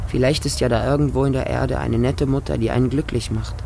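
A man's voice: medium pitch, steady tone, fast, vocal fry, dark tone, slight background noise, close to an everyday voice. A man playing a lonely, naive small homeless boy who narrates his life in a radio drama in short, plain sentences. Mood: lonely, wary.

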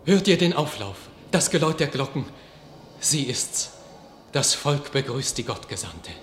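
An actor playing a knight vividly recounting a miracle in battle in a classic radio play, in an awed, declamatory manner. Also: male voice, mid-range voice, very animated, measured pace, a little hiss or hum. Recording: roomy studio radio recording.

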